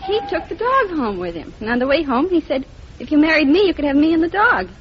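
A woman's voice: sits high, big pitch swings, fast talker, noisy background. A woman from Boston chatting and telling stories as a contestant on a vintage radio quiz show. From Boston, United States. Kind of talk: unscripted chatty storytelling. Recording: muffled vintage radio recording, audience behind.

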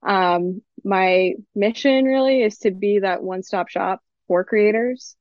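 A woman from Miami, an attorney guest on a podcast, speaking in a relaxed way with many fillers. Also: medium pitch, measured pace, no echo. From Miami, United States. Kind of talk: casual interview chat. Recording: dull, dry lo-fi recording.

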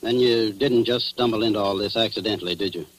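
A man's voice: on the low side, fast talker, natural voice. An actor playing a cynical crooked policeman with slangy tough-guy talk, in 1940s radio drama style. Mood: resigned. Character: crooked male cop confessing to murder, slangy, cynical. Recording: noisy, humming 1940s radio recording.

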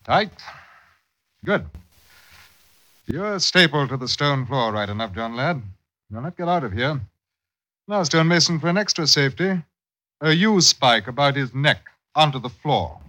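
An actor playing a stern, sly police captain of old London in a radio drama, deliberate and wry, gloating over his prey. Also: mid-range voice, lively intonation, bright, forceful tone, dry recording. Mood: gloating, commanding. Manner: deliberate, wry, addresses others as lad. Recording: noisy old radio recording.

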